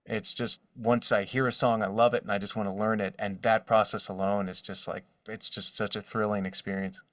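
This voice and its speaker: A man chatting casually and enthusiastically as an interview guest, in an expressive way. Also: on the low side, brisk, no room sound, studio quality, far from the mic. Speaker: guest, voice actor and artist.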